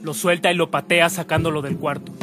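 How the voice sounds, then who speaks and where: A man's voice: fairly high pitch, flat delivery, brisk, loud music behind the voice, lightly acted. A man narrating a radio drama from a script. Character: male narrator of a dramatic story.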